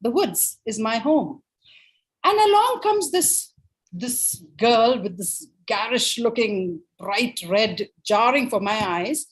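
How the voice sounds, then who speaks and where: A woman's voice: a little high, takes it slowly, hardly any pauses, poor audio. A woman voicing an indignant male wolf in a told story, protesting with emphatic, aggrieved questions. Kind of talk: animated oral storytelling.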